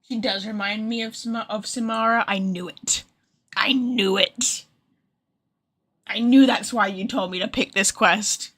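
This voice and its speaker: A woman's voice: mid-range voice, fairly even intonation, unhurried, fairly bright tone. A woman game streamer giving casual, informal livestream commentary, reacting aloud as she plays.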